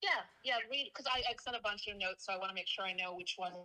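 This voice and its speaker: A woman's voice: mid-range voice, quick pace, sharp tone, poor audio. A woman reporter in an unscripted phone conversation, speaking in a hesitant, defensive way. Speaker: newspaper reporter.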